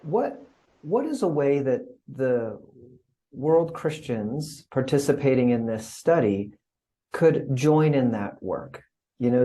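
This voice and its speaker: A man acting as interviewer, asking gentle questions with careful, halting phrasing. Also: mid-range voice. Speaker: interviewer, pastor.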